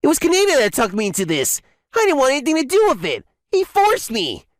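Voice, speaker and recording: A woman's voice: high-pitched, wildly swooping pitch, fast talker, no room sound, clean studio sound. A woman playing a smug, scheming office villainess in an English manga dub, with a taunting manner. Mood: panicked, defensive. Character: scheming, gloating female office villain, mockingly sweet.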